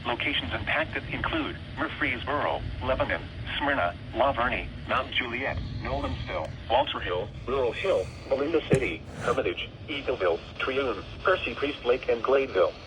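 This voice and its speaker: A synthetic male announcer voice at medium pitch comes through a weather radio with clear background noise. It delivers formal severe weather warnings in a measured, impersonal manner. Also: expressive. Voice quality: synthetic text-to-speech voice. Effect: weather radio broadcast. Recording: noisy weather radio picked up in room.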